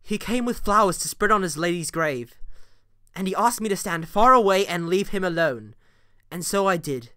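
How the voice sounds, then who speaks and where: A man's voice: high voice, expressive, normal speed, sharp tone, smooth, no room sound, studio quality. A man playing a frightened young page boy giving his report in a modernized Shakespeare audio drama. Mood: anxious.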